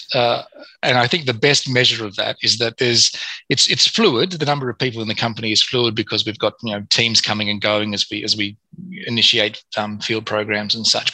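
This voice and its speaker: A man's voice: mid-range voice, bright, forceful tone, dry recording, rough recording. A man speaking as a mining company executive in a business interview, talking with many fillers and restarts.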